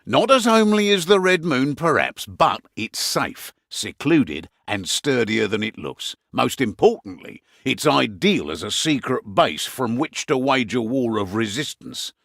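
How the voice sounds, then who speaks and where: A gruff, middle-aged British innkeeper who runs a resistance from hiding. His delivery is lively and varied in pitch, with wry asides and a weary edge. It is a video game briefing, recorded very dry and close. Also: a little slow, hardly any pauses, no room sound, heavily acted. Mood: welcoming.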